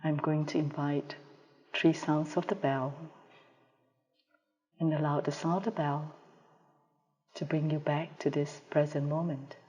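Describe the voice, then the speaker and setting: A woman guides a meditation in a mellow, relaxed voice. She speaks slowly and evenly spaces her phrases with calm pauses, like a teacher settling a group. The sound comes from a live video call and carries a long, hall-like reverb. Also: low-pitched. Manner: calm, measured delivery with pauses.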